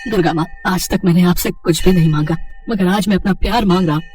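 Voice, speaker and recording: A man's voice: high voice, fast, darkish tone, recorded from a distance, soft background music, lightly acted. An actor playing a lovestruck, earnest young man in a storybook tale, pleading as he prays.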